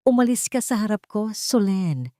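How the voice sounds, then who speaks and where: A woman's voice: mid-range voice, expressive, moderate pace, warm tone, no echo, voice pushed up, lightly acted. A bitter rich man of an audio drama , acted out by a woman narrator. He speaks with cold, resentful venom, in a dry recording. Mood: bitter anger. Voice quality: hoarse.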